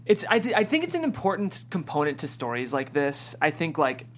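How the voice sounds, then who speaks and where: A woman's voice: sits low, very animated, a little fast. A woman hosting a casual interview, chatting conversationally as she sets up her questions. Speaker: interviewer, show host. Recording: noisy room with hum.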